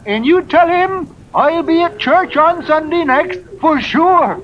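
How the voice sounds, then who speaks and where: A high-pitched cranky old English farmer. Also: male voice, takes it slowly, faint music behind. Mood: irritated, scolding. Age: older. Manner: grumbling, scolding, rustic speech. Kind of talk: 1950s radio acting. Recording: muffled vintage radio with faint noise.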